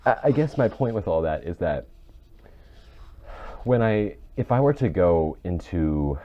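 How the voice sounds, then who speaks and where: A man co-hosting a podcast, earnest and halting as he reflects in casual discussion, choked up and close to tears. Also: sits low, big pitch swings. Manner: earnest, halting, searching for words. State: choked up, tearful. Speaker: podcast co-host. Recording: muffled, dull microphone.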